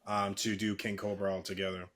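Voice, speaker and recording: Bright-voiced man hosting a podcast. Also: fairly low pitch, a little fast. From Haitian American. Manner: short agreeing replies, relaxed questions. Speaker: podcast host. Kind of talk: casual interview hosting.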